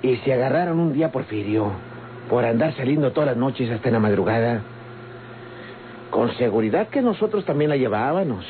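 A man's voice: medium pitch, animated, brisk, slight background noise, natural voice. An actor playing a crude, jokey outlaw henchman in an old radio drama, with rural Mexican accent and stammering folksy drawl. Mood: sly, jokey. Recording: tinny old radio recording, background chatter.

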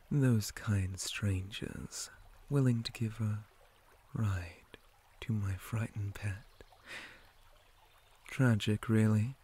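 A man voicing a menacing, possessive male spider monster in an ASMR roleplay, breathy and often whispering, with slow, softly taunting, formal speech. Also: fairly low pitch, fairly even intonation, a little hiss or hum. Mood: calmly menacing.

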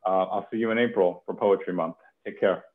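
A man's voice: low-pitched, fairly even intonation, fairly quick. A man in his thirties hosting a poetry reading from home over a headset on a video call. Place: home kitchen. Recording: muffled phone-like video call.

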